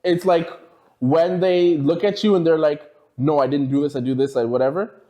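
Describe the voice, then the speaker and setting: A man's voice: a little high, steady tone, moderate pace, mellow. Laid-back guest man telling stories. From Trinidad background. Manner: laid-back storytelling, laughs easily. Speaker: podcast guest. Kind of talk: casual podcast chat.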